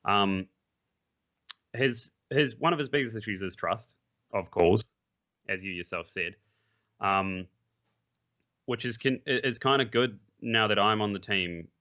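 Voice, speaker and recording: Low-voiced gamer man on a muffled mic. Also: unhurried, long pauses. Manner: relaxed and blunt, with frequent restarts and the odd swear. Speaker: pro esports player guest. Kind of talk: casual podcast interview.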